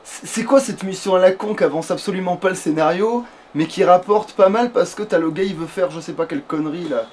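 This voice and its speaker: A man with a slightly high voice chats casually through a game, crude and sweary as he thinks out loud. There is faint noise and ambience behind him, like a home recording. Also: a little fast. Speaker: player in a gaming let's play. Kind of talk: casual gaming chat while playing.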